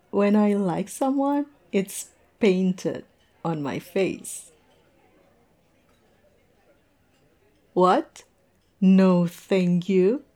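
Woman playing a slow-talking, tipsy lovestruck woman. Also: mid-range voice, warm tone. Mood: flirty mock heartbreak.

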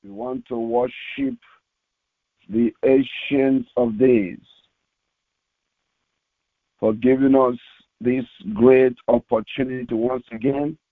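A man preaching as a pastor, reading scripture aloud in a solemn, deliberate way with short halting phrases, over a narrow phone-like recording. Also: medium pitch, fairly even intonation, dry recording. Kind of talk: devotional sermon with slow scripture reading aloud. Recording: tinny, phone-like narrowband audio.

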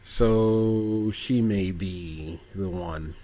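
A man's voice: sits low, extremely slow, warm tone, slight background noise. A man co-hosting a podcast, chatting casually in a hesitant, wry way. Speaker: podcast co-host. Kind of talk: casual chat.

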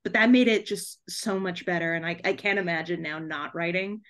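A woman talking casually as an interview guest, chatty and animated, often interrupting herself mid-thought. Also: fairly low pitch, brisk. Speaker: podcast guest, debut author.

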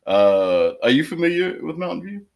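A man's voice: fairly high pitch, sing-song, normal speed, gravelly, highs cut off. A man hosting a podcast, joking around and laughing often in loose, rambling chat. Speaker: podcast host.

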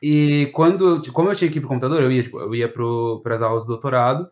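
A man's voice: mid-range voice, fairly even intonation, fast talker. A man from southern Brazil with a mild Brazilian southern accent, chatting casually as a podcast guest in a rambling, slangy way. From Florianópolis, southern Brazil. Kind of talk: casual chat.

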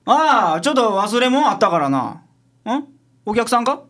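Man playing a gruff Kansai detective, swinging intonation. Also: extremely high pitch, big pitch swings, measured pace, fairly bright tone, full character voice. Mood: casual, puzzled. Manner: blunt, rough-spoken. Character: gruff, quick-witted male private detective boss. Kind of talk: radio drama acting.

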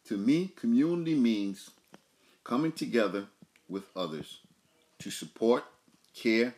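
A middle-aged father giving plain, steady answers about his communities. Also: medium pitch, a little slow. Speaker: father, contractor. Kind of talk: interview answers.

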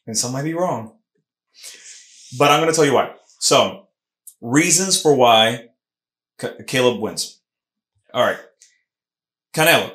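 A man's voice: mid-range voice, expressive, a little slow, long pauses, a bit bright. A man hosting a casual sports podcast, talking emphatically with lots of restarts. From Puerto Rican heritage. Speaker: podcast host. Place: Philadelphia podcast studio room. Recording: roomy echo.

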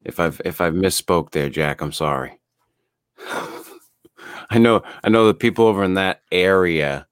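A man's voice: low voice, expressive, normal speed, pauses often, clear, resonant tone, dry recording, studio quality. A man co-hosting a podcast in loose, laid-back chat, swearing freely and filling gaps with "uh". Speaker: podcast co-host.

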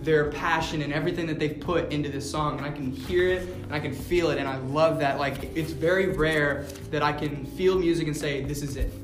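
A man's voice: fairly high pitch, fairly even intonation, a little fast, few pauses. A man chatting casually, rambling and swearing a lot. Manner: gets loud and yells. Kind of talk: casual chat. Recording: echoey room with music behind.

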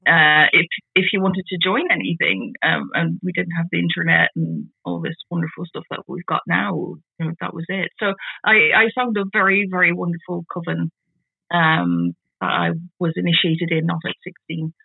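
A woman in her forties from the Netherlands, with a Dutch accent, talking casually as an interview guest, halting and often repeating words as she restarts. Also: lowish voice, expressive, creaky, husky, dry recording. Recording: tinny phone-like call audio.

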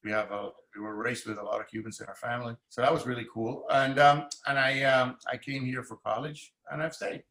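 A man from Puerto Rico, a teacher, talking through his views in a relaxed podcast chat with lots of restarts. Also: mid-range voice, fairly quick, few pauses, roomy sound, poor audio. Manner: animated, thoughtful, many restarts. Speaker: guest, arts and Spanish teacher. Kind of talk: casual podcast conversation.

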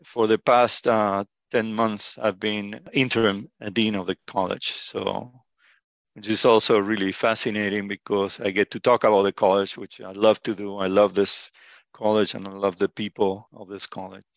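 A man's voice: fairly low pitch, flat delivery, normal speed, vocal fry, no room sound, muffled recording. A man, a college dean answering interview questions with many fillers and restarts. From Argentina.

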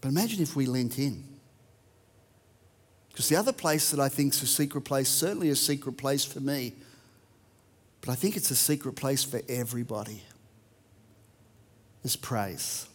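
A man's voice: mid-range voice, normal speed, long pauses, husky, in a large hall. An Australian man preaching a sermon, reading verses and talking conversationally to a church. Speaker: pastor.

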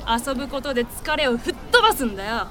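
A woman voicing a brash, hot-tempered devil in a radio drama, with rough, masculine, goading speech. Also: high voice, big pitch swings, fast talker.

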